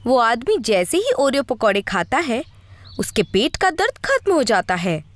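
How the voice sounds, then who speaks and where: A woman's voice: a little high, big pitch swings, normal speed. A woman narrating a scripted story in a storybook style.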